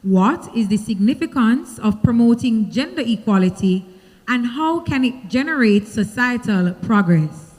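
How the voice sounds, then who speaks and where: Jamaican lady reading out pageant questions, clear and deliberate, highish voice, big hall echo. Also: animated, darkish tone. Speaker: question reader, stage host. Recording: long hall reverb from a stage mic.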